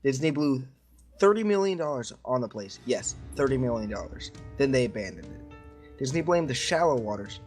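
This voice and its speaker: A man's voice: medium pitch, very animated, normal speed, a little mellow, faint music behind. A man reading a creepy story aloud to friends in an informal way. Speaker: story reader.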